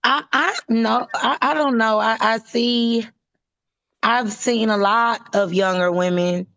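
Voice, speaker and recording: A young woman from Texas with a hoarse voice, chatting casually and haltingly as a livestream caller. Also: a little high, fairly even intonation, fairly bright tone, no room sound, muffled recording. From Houston, Texas, USA. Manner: halting, frequent use of like. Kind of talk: casual chat.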